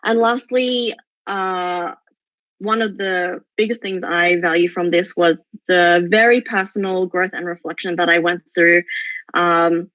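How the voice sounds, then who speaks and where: A woman in her twenties with an Australian accent, giving a semi-prepared talk at an online forum, pausing often mid-phrase as she searches for words. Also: fairly low pitch, fairly even intonation, a bit bright, no echo. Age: 20s. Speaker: forum speaker, exchange program alumna. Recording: dull, lo-fi online call audio.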